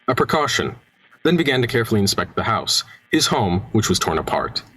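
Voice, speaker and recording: A man's voice: fairly low pitch, very animated, fairly quick, fairly bright tone, breathy edge, heavily acted. A man acting as the storyteller, reading scripted narration for an amateur radio play. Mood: somber. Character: dramatic storyteller narrating a small-town murder mystery.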